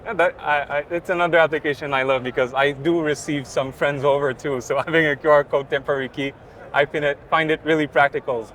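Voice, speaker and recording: A man's voice: fairly high pitch, steady tone, quick pace, a bit bright. A man co-presenting as a casual interviewer at a trade show, asking questions in an enthusiastic, agreeable way. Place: busy indoor trade show booth. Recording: constant trade show floor noise. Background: exhibition hall ambience.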